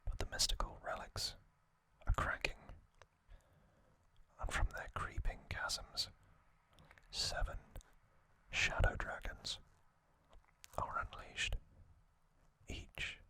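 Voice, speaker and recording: Slow whispering ASMR man. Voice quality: breathy, unvoiced whisper. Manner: slow, soft, deliberate phrasing with pauses. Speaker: host of an ASMR channel. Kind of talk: whispered ASMR trivia narration and reading aloud.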